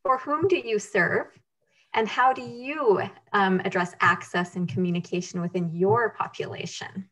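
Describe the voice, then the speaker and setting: A woman's voice: medium pitch, normal speed, a bit bright, low-quality recording. A woman moderating a webinar over a video call, thanking speakers and posing questions with some hesitant fillers.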